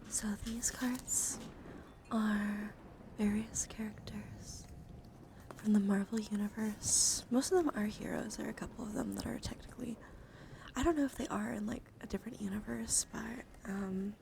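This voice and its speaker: A woman livestream host speaks in a soft ASMR style, drifting between breathy whispers and hushed, casual talk. Her pitch sits in an ordinary female range, and she lingers on repeated phrases. Clear background noise runs behind her voice. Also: fairly even intonation, normal speed.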